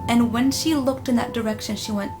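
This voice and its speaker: High-voiced woman telling a story over music. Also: steady tone, fairly quick, darkish tone. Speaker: storytime vlogger. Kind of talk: casual personal storytelling of a spooky experience. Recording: music playing behind the voice. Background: background music.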